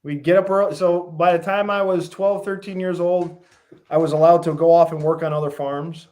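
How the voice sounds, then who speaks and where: A man's voice: on the high side, normal speed. Man from Massachusetts telling farm stories. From Western Massachusetts, USA. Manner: relaxed storytelling with many ums and lists. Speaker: guest, fish farm founder. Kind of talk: casual interview.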